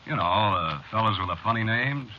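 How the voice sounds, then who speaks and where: An actor playing an easygoing, slyly ominous bartender in an old-time radio horror drama, with casual, folksy, needling talk. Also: male voice, fairly low pitch, animated, a little fast, lightly acted. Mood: amused, needling. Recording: noisy, muffled old radio drama recording.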